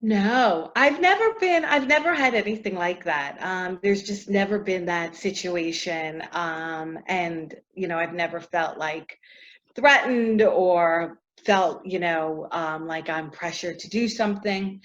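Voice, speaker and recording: A woman's voice: fairly low pitch, a little slow. Chatty woman, muffled lo-fi sound. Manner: chatty, warm-hearted, frequent fillers, trailing off softly. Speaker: actress and interview guest. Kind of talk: casual interview answers.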